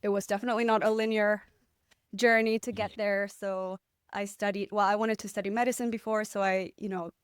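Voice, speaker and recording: A young woman from Poland, a podcast guest, talking in a reflective, relaxed way with light laughs. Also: mid-range voice, a little fast, smooth, no room sound, studio quality. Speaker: guest, agency founder. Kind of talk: podcast interview.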